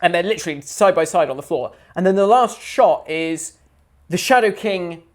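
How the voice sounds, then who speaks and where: A man's voice: high-pitched, expressive, moderate pace. A man co-hosting a podcast, casually and animatedly retelling a TV plot and acting out the characters' lines. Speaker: podcast co-host. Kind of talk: casual chat.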